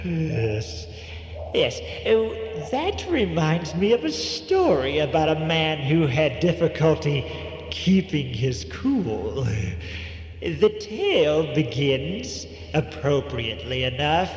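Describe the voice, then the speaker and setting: A man playing a creepy, obliging old morgue attendant, telling horror tales in a theatrical, halting old-time radio style. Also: on the high side, very animated, soft background music. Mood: sly, welcoming. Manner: theatrical, halting, with eerie little interjections. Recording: echoey long reverb, faint noise.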